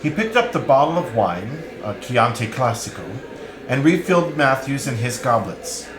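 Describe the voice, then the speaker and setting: A man's voice: mid-range voice, sing-song, normal speed. A man narrating a novel in a scripted, literary reading. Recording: roomy live reading, crowd sounds behind.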